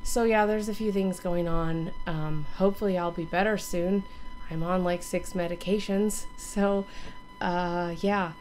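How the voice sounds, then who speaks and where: A hoarse woman in her thirties, still recovering from illness, gives a rambling, casual channel update to a webcam from her home gaming room. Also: on the low side, steady tone, a little slow, a little mellow, faint music behind. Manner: rambling, many ums and restarts. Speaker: gaming streamer and YouTuber.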